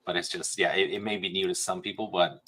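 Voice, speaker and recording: Deep bright-toned man hosting a call. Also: little pitch movement, fast, slightly rough. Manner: encouraging, stammers into sentences with repeated words. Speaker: community host. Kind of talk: casual chat on a video call.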